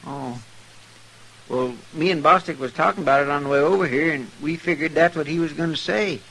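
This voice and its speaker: A sharp, fairly high male voice as a loyal frontier sidekick with a rural American twang. He rambles, hesitates and frets in a folksy way. The vintage radio western recording carries faint noise. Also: fairly quick, poor audio. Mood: earnest, reasoning. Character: folksy, talkative, anxious, loyal deputy sidekick. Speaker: radio actor. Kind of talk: old time radio western acting.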